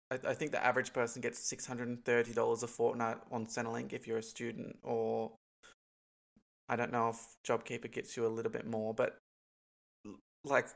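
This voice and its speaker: A man's voice: medium pitch, gravelly, fairly bright tone. A young Australian man with a stuffy, congested nose, chatting casually to camera in a rambling way, full of ums and likes. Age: young adult. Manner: rambling, many ums and likes, laughs between points. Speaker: vlogger.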